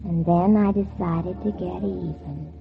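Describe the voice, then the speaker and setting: A woman's voice: on the low side, fairly even intonation, unhurried, gravelly, over music. An actress playing a spiteful, scheming teenage girl in a 1940s radio drama, by turns falsely innocent and coldly bitter. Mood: bitter, confiding. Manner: feigned sweet innocence turning coldly bitter. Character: scheming, spiteful teenage girl narrating her own revenge. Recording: muffled, tinny old 1940s radio recording.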